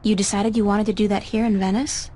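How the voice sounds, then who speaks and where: A woman's voice: medium pitch, flat delivery, fairly quick, mellow, close to an everyday voice. An actress playing a friendly, inquisitive young woman in a video game, speaking casually. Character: friendly, curious young woman, newcomer to the city.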